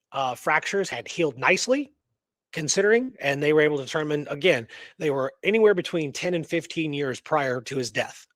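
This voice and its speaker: A man hosting a talk show, discussing history in an animated, informal, conversational way. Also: a little high, dry recording. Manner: animated, informal, peppers talk with "you know what I mean". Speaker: show host. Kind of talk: casual history discussion and storytelling.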